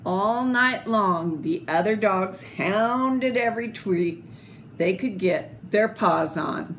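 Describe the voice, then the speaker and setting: An older woman reading a children's picture book aloud in an expressive, deliberate, theatrical way, with little asides. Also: medium pitch. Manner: slow, deliberate, theatrical phrasing. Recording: clearly noisy home video recording.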